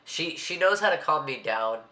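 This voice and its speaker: A man's voice: on the high side, animated, normal speed, bright, forceful tone, echoey room. A young man from Maryland vlogging casually with a stuffy, congested voice, talking in a rambling, slightly anxious way. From Maryland, USA. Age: young adult. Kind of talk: casual vlog monologue.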